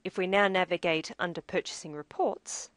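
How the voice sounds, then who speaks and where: Low-voiced woman narrating a software demo. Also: normal speed, no echo. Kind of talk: scripted narration of a software tutorial.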